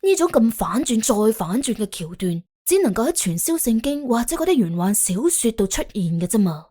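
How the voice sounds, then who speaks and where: Woman narrator, Cantonese, expressive storytelling, highish voice, very varied intonation, wry colloquial tone. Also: moderate pace. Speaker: audiobook narrator. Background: faint background music.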